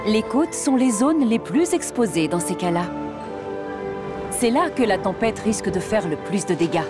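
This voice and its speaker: Une femme qui raconte un dessin animé pour enfants, sur un ton clair de conteuse. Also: female voice, medium pitch, expressive, moderate pace, loud music behind the voice. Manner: clear, storytelling. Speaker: narrator. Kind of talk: scripted children's story narration.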